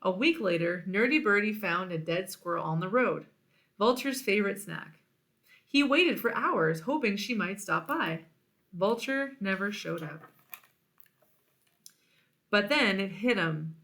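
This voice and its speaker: A woman reading a children's storybook aloud in an expressive narrating style. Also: medium pitch, a little slow, pauses often. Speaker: storybook reader.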